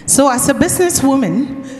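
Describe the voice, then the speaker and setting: Woman from Cameroon, audience questioner; earnest, halting; higher voice, roomy hall reverb. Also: animated, a little mellow, faint music behind. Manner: earnest, halting, restarts often. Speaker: audience member asking a question. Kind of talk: conference question. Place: large conference hall. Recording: echoey conference hall.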